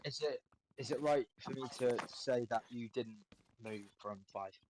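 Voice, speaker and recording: A man's voice: mid-range voice, a little slow, darkish tone, slight background noise, poor audio. A man co-hosting a casual interview, stumbling and restarting as he asks follow-up questions.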